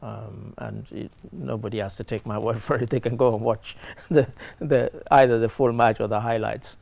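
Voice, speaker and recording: A man with a croaky voice after a late night, giving blunt, conversational sports commentary as a talk show panelist. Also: mid-range voice, fairly even intonation, normal speed, darkish tone, dry recording.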